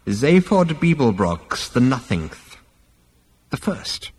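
A man voicing an ancient, scornful ghostly alien great-grandfather from a comic science fiction story, screechy and rasping, snappish and pompous. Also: medium pitch, very animated, a little mellow, in a large hall, pitched above the performer's own voice, exaggerated voice. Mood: snapping scorn. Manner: snappish, drawn-out, withering, pompous.

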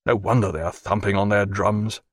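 A man's voice: fairly low pitch, fairly even intonation, fairly quick, slightly rough, dry recording, clean studio sound, pitched below the performer's own voice. A man voicing a blunt, commanding, mischievous naval captain in an audiobook. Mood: gloomy, dogged.

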